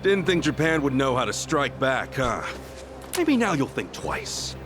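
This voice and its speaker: A man's voice: mid-range voice, very animated, measured pace. A man playing a brash, good-natured, streetwise tough guy in a game cutscene, talking bluntly and casually in slang. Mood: cool, defiant. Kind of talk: English video game cutscene dub acting. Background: music.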